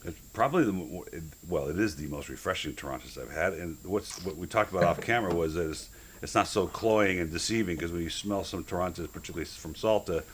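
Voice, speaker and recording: Very deep-voiced middle-aged male host, chatty, enthusiastic, faint hiss. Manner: chatty and enthusiastic, quick reactions. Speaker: show host. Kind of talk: casual interview chat.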